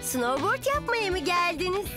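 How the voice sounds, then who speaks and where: Very high, bubbly cartoon girl. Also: very animated, normal speed, over loud music. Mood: delighted. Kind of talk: children's cartoon dub.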